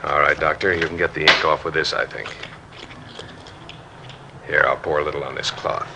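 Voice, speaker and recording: An American actor playing a plain-spoken, courteous police officer in 1950s radio style, with casual wording. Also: male voice, a bass voice, steady tone, moderate pace, gravelly. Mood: businesslike, polite. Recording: muffled, noisy old radio recording.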